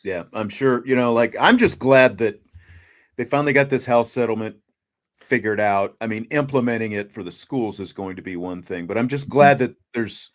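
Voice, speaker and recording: A man's voice: medium pitch, moderate pace. Casual male sports talk show host.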